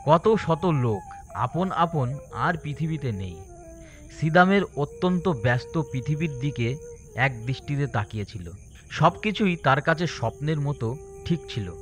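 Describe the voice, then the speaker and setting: A man's voice: fairly high pitch, lively intonation, a little slow. Male storyteller over faint music. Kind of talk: scripted dramatic story narration.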